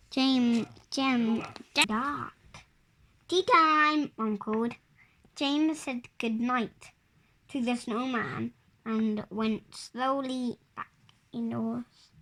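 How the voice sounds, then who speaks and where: A five-year-old child reading a picture storybook aloud in a halting, word-by-word way. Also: high-pitched, sharp tone. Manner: halting, word-by-word early reader. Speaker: child reader.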